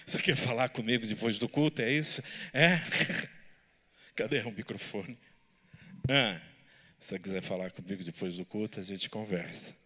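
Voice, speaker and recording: Pastor guy teaching bible study in church, lowish voice but really bright, gets worked up. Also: a little slow, long pauses. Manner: animated, lively preaching with audience banter.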